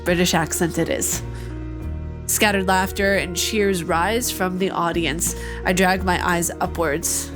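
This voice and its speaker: A woman's voice: fairly low pitch, steady tone, unhurried. A woman hosting a let's play, reading visual novel narration aloud and breaking off into playful, joking asides. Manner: playful, joking asides between narrated passages, frequent laughs. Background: game music.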